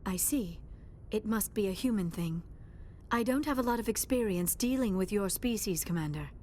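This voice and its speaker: Sci-fi game: actress as youthful female alien researcher, medium pitch, formal, precise, earnest, faint rumble behind. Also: animated, moderate pace, warm tone, lightly acted. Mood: polite, grateful. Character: earnest, polite young female alien scholar. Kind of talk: video game dialogue acting.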